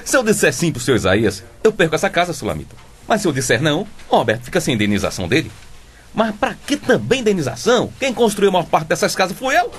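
Stubborn Brazilian bricklayer, swooping pitch. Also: male voice, huge pitch swings, quick pace, husky, heavily acted. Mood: stubborn, self-justifying. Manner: obstinate, repeats himself. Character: stubborn, cowardly, dim bricklayer husband. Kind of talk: comic radio soap acting.

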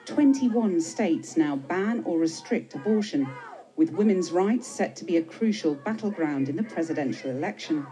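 A woman presenting the news and interviewing a guest with crisp, probing questions in a TV studio. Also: lowish voice, animated, normal speed, warm tone, rough recording.

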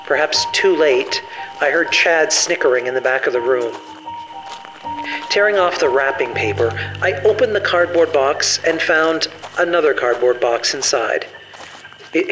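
A man's voice: on the high side, fairly bright tone, rough recording. A man playing a put-upon young survivor narrating his journal in a scripted audio drama.

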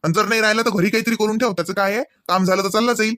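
A man's voice: high voice, fairly even intonation, rapid-fire, pushed and bright, no echo. Teasing, sarcastic husband for cartoon comedy male performer: homely grumbler, dry sound. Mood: teasing, agreeable.

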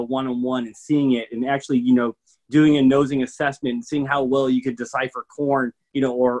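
An enthusiastic middle-aged man from Michigan talks bourbon over a video call from home, his voice sitting at an ordinary middle pitch. He rambles along with plenty of "uh" and "you know," sounding keen but loose. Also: low-quality recording. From Michigan, USA. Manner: rambling, filler-heavy, enthusiastic. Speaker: bourbon educator guest. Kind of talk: casual video-call interview. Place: home room with a TV.

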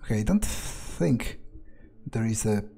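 A man's voice: on the low side, animated, a little slow, gravelly, dark tone, breathy edge. Man musing aloud during gameplay. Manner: musing, muttering to himself. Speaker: gamer playing in character. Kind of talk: in-character gameplay commentary, thinking aloud. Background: faint game music.

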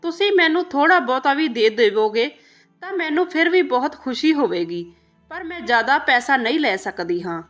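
A humble maidservant and mother from a moral storybook, played by a woman narrator. She speaks high with wide swings of pitch, respectful and earnest. Faint music plays underneath. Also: lively intonation, normal speed, a bit bright. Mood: tearful pleading. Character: devoted humble maidservant, mother of grown children.

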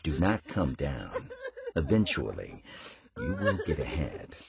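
An actor playing a sinister, mockingly soothing villain in a horror game, slow, gravelly and chuckling. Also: male voice, extremely low pitch, very animated, a crowd in the background, full character voice. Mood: coaxing, sinister. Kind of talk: horror video game voice acting.

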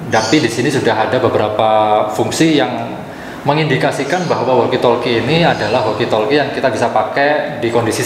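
A young man presents a gadget review in a casual, explanatory way. His voice sits at an average pitch. It is recorded in a very echoey room with long reverb and some faint noise. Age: young adult. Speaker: product reviewer and channel host.

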